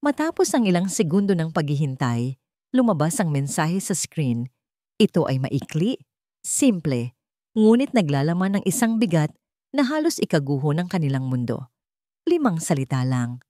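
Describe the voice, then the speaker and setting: A woman's voice: sits low, very animated, moderate pace, dark tone, no room sound, clean studio sound. A woman with a Filipino accent narrating a scripted dramatic story.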